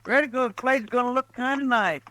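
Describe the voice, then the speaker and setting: An actor playing an earnest, folksy young deputy with a hesitant, twangy way of talking in an old radio drama. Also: male voice, extremely high pitch, a little fast, bright, forceful tone. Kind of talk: 1950s radio drama acting. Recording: old radio broadcast recording.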